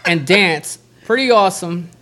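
A young man talking casually with friends, his pitch rising and falling a lot in a slightly high voice. He uses slang, swears freely and stumbles through restarts. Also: lively intonation, a little slow, very noisy. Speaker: podcast co-host. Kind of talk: casual chat.

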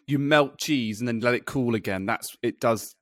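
A man's voice: mid-range voice, moderate pace, fairly bright tone, dry recording, clean studio sound. A man chatting and bantering as a podcast co-host, jokey and playfully dramatic in casual conversation.

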